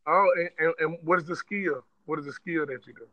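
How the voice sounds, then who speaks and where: American man, casual slangy talker, dry close sound, very bright tone. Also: on the high side, brisk, no room sound, lo-fi sound. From United States. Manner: relaxed, slangy, stammers at starts. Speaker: mailman. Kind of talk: casual conversation.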